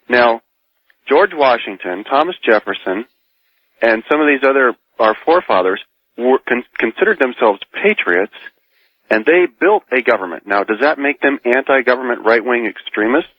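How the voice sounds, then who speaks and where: A man's voice: mid-range voice, expressive, moderate pace, no room sound. An American man calling in to a radio debate by telephone, argumentative and probing with pointed questions. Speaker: caller debating on a radio show. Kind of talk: live phone-in debate. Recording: muffled phone call over old radio.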